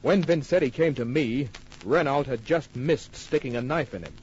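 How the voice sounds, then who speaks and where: An actor playing a tough private detective, narrating his case in clipped, wry, deadpan old-time radio style. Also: medium pitch, moderate pace, muffled recording, a little hiss or hum, lightly acted. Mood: matter-of-fact. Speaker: lead narrator.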